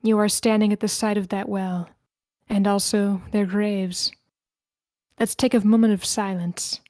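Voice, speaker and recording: A woman plays a tour guide in her late twenties, recounting eerie local legends. Her voice is soft and mellow, with very little room sound around it. It comes across as a screenplay being read aloud. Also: mid-range voice, flat delivery, a little slow, no echo, clean studio sound, natural voice. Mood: eerie storytelling. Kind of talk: screenplay table read, storytelling.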